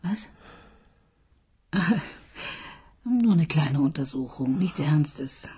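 Dry, brisk woman detective. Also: deep voice, expressive, dark tone, breathy edge, heavily acted. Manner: brisk, dryly ironic. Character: busy female murder detective, dry and brisk. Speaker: police detective. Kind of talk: radio crime drama.

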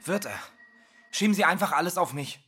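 An actor playing an earnest, stubborn teenage schoolboy in a stage drama, pleading haltingly and repeating himself. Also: male voice, sits high, expressive, pushed and bright, faint music behind.

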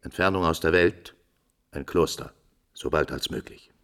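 A man's voice: rumbling low, normal speed, a bit bright. An actor playing a proud, anguished middle-aged father in a 1950s German radio drama, stern and theatrical, bitterly holding back rage. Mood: stiff pride. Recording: vintage 1950s radio recording.

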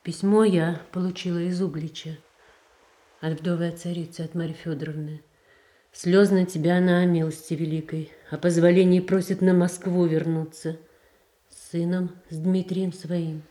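An actress voices a tsaritsa in a classic radio play. Her tone is warm and lowish, measured and earnest, gently pressing her plea. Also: little pitch movement, lightly acted. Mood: pleading. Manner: earnest, measured, softly persuasive. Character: tsaritsa Irina, gentle pleading wife. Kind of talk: 1970s Soviet radio drama.

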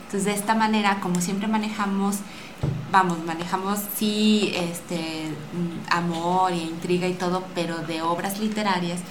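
A woman's voice: on the low side, fairly even intonation, moderate pace. A woman with a Mexican accent speaking in a chatty, informal way as a guest in an interview. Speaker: radio drama maker and guest. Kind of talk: casual interview talk. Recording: echoey room with clear background noise.

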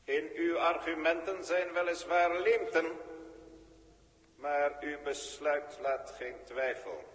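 Cold ship robot, formal and unhurried; high voice in a reverberant space, old radio play. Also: male voice, a bit bright. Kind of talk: 1960s radio drama acting. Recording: echoey hall, old radio tape.

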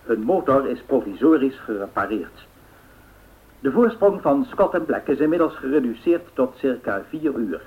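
An actor playing a formal, factual 1930s radio newsreader reading a bulletin. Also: male voice, mid-range voice, expressive, moderate pace, phone-quality sound, lightly acted. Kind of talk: scripted news bulletin in a radio play. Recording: thin band-limited old radio sound.

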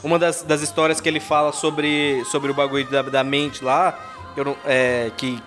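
A man's voice: a little high, level tone, moderate pace, over music. A man in casual podcast chat, talking hesitantly and full of fillers. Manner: hesitant, filler-heavy with "tipo".

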